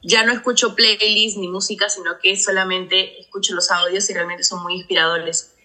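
Bright-voiced young woman. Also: mid-range voice, steady tone, moderate pace, low-quality recording. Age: 20s. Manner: polite, enthusiastic. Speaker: caller asking a question. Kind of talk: asking a question on a group call.

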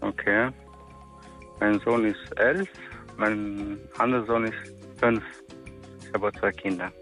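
A man's voice: on the low side, fairly even intonation, slow, a bit bright, faint music behind. Friendly man in his thirties calling in. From Italy. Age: 30s. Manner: friendly, asks questions. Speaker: caller. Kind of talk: casual phone chat.

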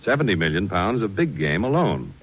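A male American announcer in the style of 1940s radio. His voice is on the low side and rough-edged, reading scripted copy with big swings of intonation. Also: sing-song, a little fast.

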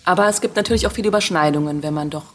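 A woman's voice: lowish voice, racing. A woman in her forties co-hosting a casual podcast, playful and teasing as she tells anecdotes. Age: 40s. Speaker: podcast co-host.

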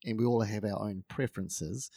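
A man's voice: fairly low pitch, steady tone, normal speed, gravelly, mellow, no room sound, clean studio sound. A man with a New Zealand accent, co-hosting a casual podcast and explaining with confident restarts. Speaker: coffee expert co-host.

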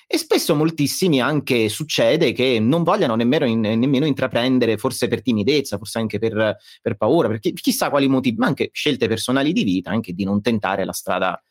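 A man with a mid-pitched voice talks casually as a guest fan dubber. He is halting, restarting his thoughts, in a close dry sound with music behind. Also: no echo. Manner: halting, self-correcting. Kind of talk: casual chat.

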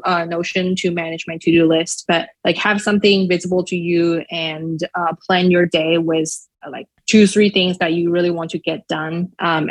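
A woman from Shanghai with a Chinese accent, speaking English as a second language in a podcast interview. Her voice sits somewhat low, and she thinks aloud with frequent "um" and "like". She sounds relaxed and reflective. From Shanghai, China. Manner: thoughtful, many fillers like "um" and "like". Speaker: podcast guest. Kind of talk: casual interview answers.

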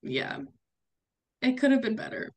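A young woman co-hosting a podcast, answering in short, dry, deadpan remarks in casual chat. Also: a little high, unhurried. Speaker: podcast co-host.

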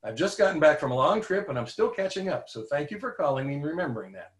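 Friendly older woman's voicemail, read plainly by an older man, higher side, echoey room. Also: sing-song, quick pace.

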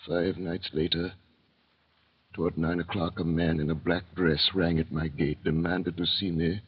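An actor playing an embittered, anguished imprisoned doctor, narrating gravely and deliberately in old radio drama style. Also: male voice, low-pitched, little pitch movement. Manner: grave, measured, declamatory. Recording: dull vintage radio recording.

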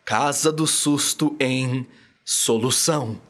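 A man's voice: medium pitch, slow, a bit bright. A young Brazilian man narrating a scripted children's radio story in a dramatic storytelling style. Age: young adult. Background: music.

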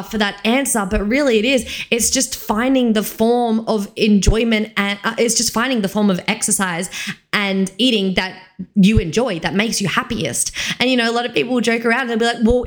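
Candid young Australian woman podcasting. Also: medium pitch. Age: 20s. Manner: candid, informal, emphatic with repeated phrases. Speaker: podcast host, fitness coach. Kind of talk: casual solo podcast talk.

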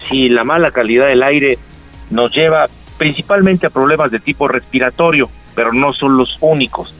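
A man's voice: mid-range voice, moderate pace, no room sound, rough recording. Male doctor, radio guest, patient explainer, faint music under. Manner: calm explanatory, with fillers. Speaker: doctor giving health advice. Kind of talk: radio health segment guest.

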